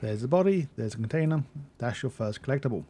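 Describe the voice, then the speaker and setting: A man's voice: medium pitch, lively intonation, quick pace, mellow, breathy edge. A man giving a casual video game walkthrough as a guide narrator.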